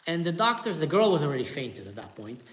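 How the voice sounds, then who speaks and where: A middle-aged man with an Israeli accent, telling a story from his own life in a lively casual way and acting out the voices he quotes. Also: fairly high pitch, quick pace. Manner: animated, acts out quoted voices, tense retelling. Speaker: storyteller host.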